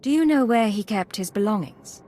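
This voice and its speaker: A woman's voice: medium pitch, animated, normal speed, mellow, slight background noise, lightly acted. A woman playing a businesslike intelligence agent in a video game, composed, clipped and controlled. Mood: cold, commanding. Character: cool, businesslike female imperial intelligence agent.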